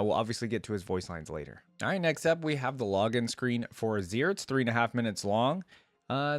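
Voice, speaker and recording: A man's voice: mid-range voice, dry recording. A man hosting a reaction video, commenting casually and thinking aloud in informal language full of "like".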